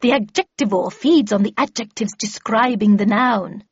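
A woman voicing an imperious, brisk older lady mentor in an audiobook, with clipped, commanding and pompous delivery. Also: medium pitch, husky, no echo, muffled recording. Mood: lecturing. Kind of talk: audiobook character dialogue.

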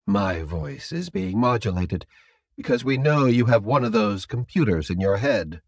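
A male narrator plays a polite captor in an audiobook. The delivery is measured and formal, detached yet courteous, with businesslike calm. The sound is close and dry. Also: mid-range voice, gravelly, no room sound, voice pushed up. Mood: measured, explanatory. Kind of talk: audiobook character dialogue.

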